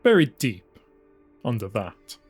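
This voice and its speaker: A man voicing a broken old male commander, bitter and resigned, with a flat clinical manner, in a dramatised audiobook. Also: medium pitch, takes it slowly, slightly rough, soft background music, heavily acted. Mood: grim.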